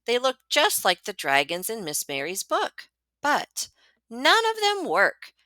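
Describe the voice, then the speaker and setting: A woman reads a picture book aloud to children at a slow, deliberate pace. Her voice is bright and swoops expressively as she leans on key words. The sound is close and dry. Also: on the high side, huge pitch swings, no room sound. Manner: dramatic, deliberate storytelling with emphasized words. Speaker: librarian reading aloud to students.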